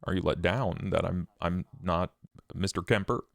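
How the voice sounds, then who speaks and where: A coy, teasing male vampire , played by a man, in a roleplaying game. The voice is low and gravelly, unhurried and coaxing, recorded dry and close. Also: steady tone, dry recording. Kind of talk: tabletop roleplay character acting.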